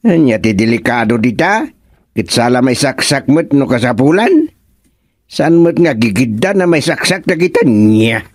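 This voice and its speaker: A man with an Ilocano accent acting an easygoing, free-spending village councilor in a comedy radio drama, with carefree, drawn-out talk. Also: medium pitch, very animated, darkish tone, dry recording, clean studio sound. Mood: nonchalant, breezy.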